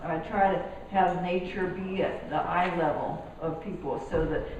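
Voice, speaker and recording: Soft-spoken woman in an echoey gallery. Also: lowish voice, steady tone, recorded from a distance. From Colombia. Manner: halting, with many restarts and fillers. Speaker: artist giving a gallery talk. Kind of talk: casual artist talk. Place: gallery hall. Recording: echoey hall, speaker off mic, faint noise.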